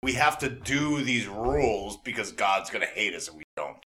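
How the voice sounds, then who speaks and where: A man co-hosting a podcast interview, chatting casually in an excitable, blunt, slangy way and often repeating himself as he goes. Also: on the low side, normal speed, crowd noise. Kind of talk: casual enthusiastic chat.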